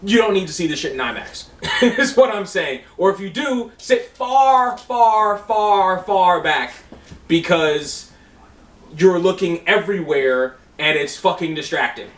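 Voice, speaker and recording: A man with a high-pitched voice talks through a movie review in loose, profane chat. He gets excitable and restarts his sentences a lot. He sits back from the mic in an echoey room. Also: unhurried, far from the mic. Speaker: co-host of movie review video.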